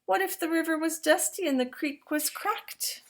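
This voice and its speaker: A woman's voice: very high-pitched, moderate pace, a bit bright, voice pushed up. A woman voicing a young boy who eagerly asks his father question after question, read aloud from a picture book. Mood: pleading. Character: young Maasai boy, curious and anxious.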